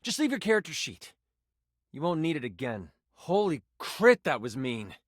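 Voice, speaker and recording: A man voicing a nerdy, earnest, easily flustered tabletop game master in a video game, with an eager, stammering, expressive delivery. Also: on the high side, moderate pace, no echo, clean studio sound. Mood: hurt. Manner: stammering, eager, gushing, self-conscious.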